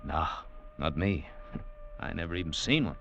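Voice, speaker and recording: Low-voiced wry homespun trooper. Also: male voice, expressive, normal speed, vocal fry, a bit bright, highs cut off, heavily acted. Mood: rueful. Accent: American. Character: wry, homespun cavalry trooper, dryly needling his comrades. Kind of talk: 1950s American radio acting. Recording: old radio recording, faint noise.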